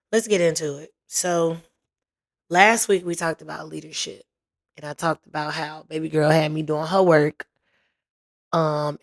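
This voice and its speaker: A young woman hosting her own podcast in casual chat, her voice raspy and hoarse as it recovers, talking playfully with lots of slang. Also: deep voice. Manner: chatty, playful, slangy, drawn-out emphasis. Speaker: podcast host.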